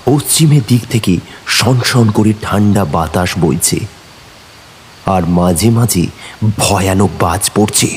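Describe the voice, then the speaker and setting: A man narrating a horror audio story in a measured, suspenseful manner. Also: fairly low pitch, background noise. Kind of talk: dramatic scripted narration. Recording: reverberant studio with clear music. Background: music.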